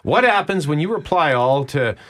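A man's voice: on the high side, animated, moderate pace, a bit bright. A man with a Canadian accent hosting a radio show, bantering and reading items aloud.

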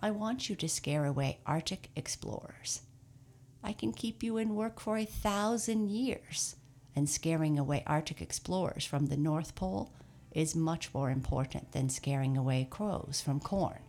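Woman doing a brisk, hearty old Santa. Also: low-pitched, a little mellow. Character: jolly, brisk old Santa Claus, kindly.

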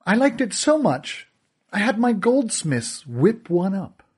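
A man's voice: high-pitched, very animated, takes it slowly, a little mellow, husky, voice pushed up, exaggerated voice. A man voicing a cruel, theatrical villain who gloats and taunts in a sneering, sing-song way. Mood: sly.

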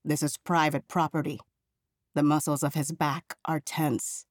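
A woman from Nigeria narrating a literary audiobook with precise diction in a measured, eloquent manner. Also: sits low, little pitch movement, no room sound, studio quality.

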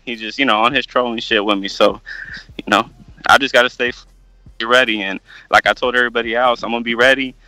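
A man's voice: mid-range voice, fairly even intonation, quick pace, sharp tone, no echo, poor audio. A man calling in as a fighter, telling a rambling story loosely with many fillers. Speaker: caller, fighter. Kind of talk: casual call-in chat.

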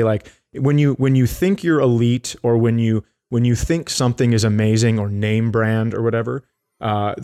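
A man's voice: mid-range voice, darkish tone. Rambling middle-aged man telling comic stories. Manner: rambling, jokey. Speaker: comedy podcast co-host. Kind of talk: casual comic storytelling.

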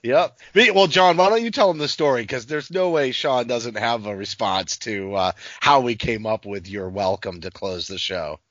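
A man's voice: mid-range voice, expressive, normal speed, hardly any pauses, a bit bright, no room sound. A man co-hosting a casual sports podcast, talking conversationally with the others. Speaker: podcast co-host. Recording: slightly dull, dry podcast audio.

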